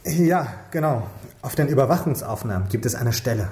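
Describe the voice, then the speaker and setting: An actor playing a tentative, deferential junior police detective in a radio crime drama. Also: male voice, mid-range voice, lively intonation, brisk, crowd noise, natural voice. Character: hesitant, eager junior male police detective. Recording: echoey office room.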